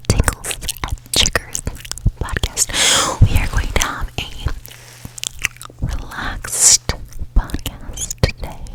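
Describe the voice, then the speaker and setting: A woman hosting an ASMR podcast in a breathy whisper, speaking slowly and soothingly close to the microphone, with repeated words. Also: poor audio. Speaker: podcast host. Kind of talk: whispered ASMR with guided meditation and rambling. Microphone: close sensitive ASMR microphone.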